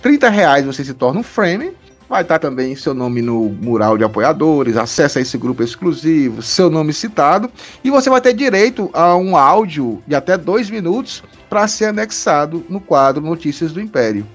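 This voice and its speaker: Guy from the northeast hosting a podcast, highish lively voice, chatty, little music under it. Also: normal speed. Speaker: podcast host. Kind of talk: casual podcast chat, explaining supporter tiers.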